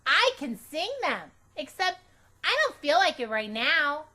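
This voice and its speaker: A woman voicing a bold, stubborn, excitable five-year-old girl in a children's storybook, high and loud, with blunt, childish phrasing. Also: measured pace, full character voice. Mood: proud, boastful. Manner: blunt, emphatic, childish grammar.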